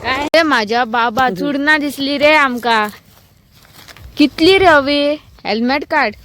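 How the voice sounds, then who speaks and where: A woman's voice: high voice, slow pace, a bit bright. A woman from Goa with a Goan accent, chatting casually as a vlogger. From Goa, India. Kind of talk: casual chat.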